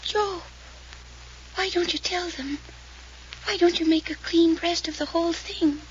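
Neurotic young woman, extremely high voice, melodramatic pleading, old radio drama, muffled hissy recording. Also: fairly even intonation, unhurried. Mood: anguished, insistent. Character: overwrought, neurotic young woman accusing her brother. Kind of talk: 1940s radio mystery acting.

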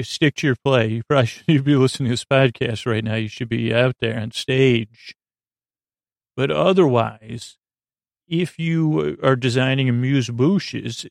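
A middle-aged man with a creaky, gravelly voice hosts a bedtime podcast, rambling haltingly and interrupting himself as he goes. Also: medium pitch, no room sound, clean studio sound. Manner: meandering, halting, self-interrupting. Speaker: podcast host.

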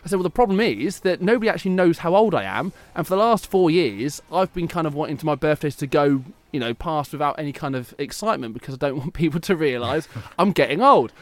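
A man's voice: fairly high pitch, animated, fast talker, hardly any pauses. A man in his late twenties hosting a casual sports radio chat, joking about himself and laughing often. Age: late 20s. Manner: chatty, laughs often, self-mocking. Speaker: radio show host.

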